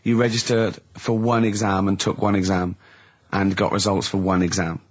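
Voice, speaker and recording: A man's voice: low voice, flat delivery, moderate pace. A man with a southern English accent, hosting a casual comedy radio show, teasing and explaining things in a conversational way. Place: radio studio. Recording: muffled lo-fi radio recording.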